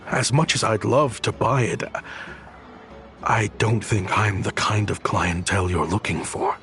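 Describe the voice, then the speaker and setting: A weary man from a video game who cares for a group of children. He speaks slowly and thoughtfully, with a somewhat low voice and a dry, wry edge. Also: fairly bright tone, soft background music. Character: weary adult man caring for orphaned kids.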